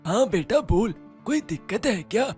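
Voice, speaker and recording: A woman voicing a caring, gentle middle-aged father in a cartoon, speaking softly. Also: mid-range voice, animated, normal speed, soft background music, close to an everyday voice.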